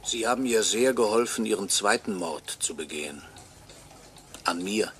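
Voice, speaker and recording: An actor playing a bitter murder victim who narrates a radio crime drama with brooding, sardonic deliberation and a gravelly voice. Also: male voice, on the low side, expressive, moderate pace, fairly bright tone, a little hiss or hum. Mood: bitter irony. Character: bitter murdered watchmaker's employee, narrating from beyond death.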